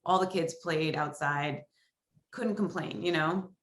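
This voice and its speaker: A woman's voice: low-pitched, unhurried. A woman in her forties from Long Island with an American accent, chatting casually and ramblingly as an interview guest. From Long Island, New York, USA. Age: 40s. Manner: chatty, rambling, peppered with "like" and "you know". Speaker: podcast guest. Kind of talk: casual chat.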